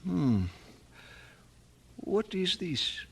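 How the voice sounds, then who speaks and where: A man's voice: sits high, big pitch swings, very slow, gravelly, slightly rough, full character voice. An actor playing a grave archbishop counselling a young queen in old radio drama style.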